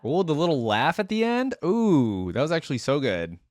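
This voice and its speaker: A man's voice: on the high side, wildly swooping pitch, normal speed, darkish tone, slight background noise. A man hosting a reaction video, giving casual, conversational commentary straight to his viewers. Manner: informal, conversational, addresses viewers directly.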